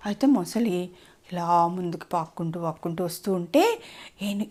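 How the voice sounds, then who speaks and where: A woman's voice: on the low side, animated, normal speed, a little mellow. Woman telling a children's story interactively. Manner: simple, explanatory, often asks the child questions.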